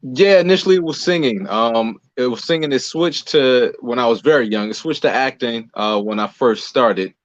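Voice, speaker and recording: A man's voice: mid-range voice, moderate pace, hardly any pauses, no echo. An American man giving casual answers as an interview guest, on a slightly muffled recording. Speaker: interview guest, singer, actor and playwright.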